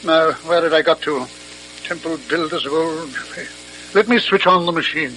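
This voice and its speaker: An actor playing a pompous, precise British doctor and criminologist in an old-fashioned radio drama, speaking formally and pedantically. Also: male voice, on the high side, moderate pace, pushed and bright, slight background noise, low-quality recording. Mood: pedantic, composed. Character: pompous, precise, sharp-minded doctor and criminologist dictating notes.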